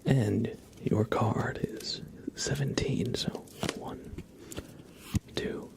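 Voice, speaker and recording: A man hosting an ASMR livestream in a soft, breathy half-whisper with a creaky low voice, playful and unhurried, close on the microphone. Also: wildly swooping pitch. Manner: playful, gently joking, unhurried. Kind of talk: ASMR tarot card reading for viewers.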